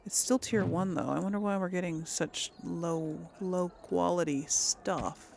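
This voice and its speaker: A woman's voice: on the low side, steady tone, unhurried, creaky, a little mellow, slight background noise. A woman hosting a casual game playthrough, chatting and thinking aloud as she plays. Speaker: gaming video host. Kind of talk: casual playthrough commentary with role-played dialogue.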